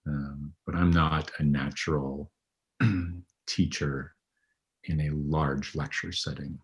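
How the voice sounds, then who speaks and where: A man in his thirties, a veterinary radiologist being interviewed, talking in a low, even, unhurried way with frequent ums. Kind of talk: conversational interview.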